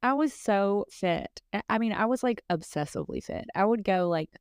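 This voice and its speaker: A woman's voice: medium pitch, lively intonation, moderate pace, clear, resonant tone, no echo, clean studio sound. A woman in her thirties co-hosting a podcast, talking in a candid, casual way with lots of fillers and bits of laughter. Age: 30s. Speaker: podcast co-host. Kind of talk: casual chat.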